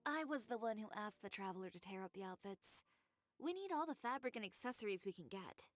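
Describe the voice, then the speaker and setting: Actress for cool proud designer, mid-range, composed, dry wit. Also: female voice, medium pitch, brisk, close to an everyday voice. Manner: calm, composed, dryly sardonic. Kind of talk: game voice acting.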